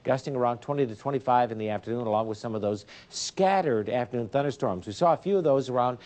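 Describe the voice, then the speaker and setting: A man's voice: medium pitch, fast, a little mellow. A man giving a loose, ad-libbed local TV weather forecast from a news studio, full of fillers. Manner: loose, chatty delivery full of "uh" and "of course". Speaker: TV meteorologist.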